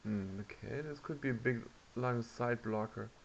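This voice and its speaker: A man's voice: mid-range voice, moderate pace, creaky. A man giving casual gameplay commentary, reacting aloud and muttering to himself as he plays. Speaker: gamer. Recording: muffled lo-fi mic, background noise.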